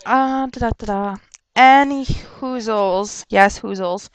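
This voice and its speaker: A young woman rambling casually on a webcam vlog, chatty and quick to laugh and rant, her nose stuffed up from a cold. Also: on the high side, no room sound. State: stuffed up with a sore throat. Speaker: vlogger answering viewer questions.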